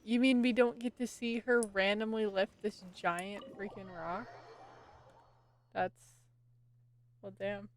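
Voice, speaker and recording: A woman's voice: medium pitch, little pitch movement, slow pace, long pauses. A woman streaming a game live, giving casual, offhand commentary as if half talking to herself. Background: faint game music.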